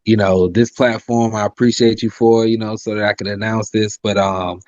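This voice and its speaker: A man's voice: level tone, normal speed, darkish tone, dry recording, highs cut off. A man, talking casually as an interview guest, polite and full of "you know" fillers. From South Carolina. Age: 30s. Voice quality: high-pitched voice by his own account. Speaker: rapper and singer, interview guest.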